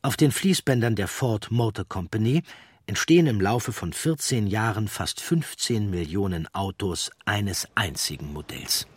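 A man reads scripted documentary narration in a measured, articulate manner. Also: fairly low pitch, animated, husky, no room sound, clean studio sound.

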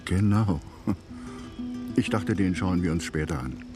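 Bragging western gunman, rough talker, lowish lively voice, radio drama over music. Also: measured pace. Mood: boastful. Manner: boastful, colloquial storytelling. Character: rough male frontier Indian hunter spinning war stories. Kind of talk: German radio drama acting.

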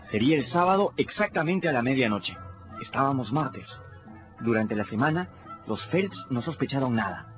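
A man's voice: mid-range voice, expressive, normal speed, highs cut off, soft background music, lightly acted. An actor narrating as a plain-spoken boy in a radio drama, with a wry storytelling manner.

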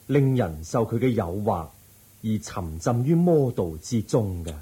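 Dark-voiced, slow, serene Buddha. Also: male voice, on the low side, slightly rough, exaggerated voice. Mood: calm, solemn. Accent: Hong Kong Cantonese. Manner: measured, calm, didactic. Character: serene, solemn male Buddha preaching wisdom to householders. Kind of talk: solemn radio drama preaching.

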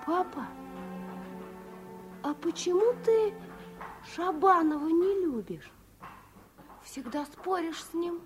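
A woman's voice: extremely high pitch, animated, slow pace, pauses often, soft background music, full character voice. A woman playing a curious, earnest small daughter with childlike questioning speech in a 1950s Soviet radio drama. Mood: innocent curiosity.

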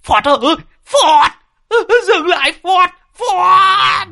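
A man voicing a panicky, cursing old male village shaman in a shrill, screeching, whiny voice. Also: very slow, vocal fry, heavily acted. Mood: panicked. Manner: frantic yelling and scolding.

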